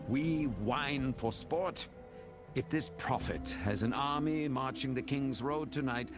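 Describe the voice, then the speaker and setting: A pompous male magistrate in a fantasy game, with a highly animated, swooping delivery. He is florid, suave and condescending, drolly dismissive of trouble. Clear music sits behind the voice. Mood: droll, dismissive.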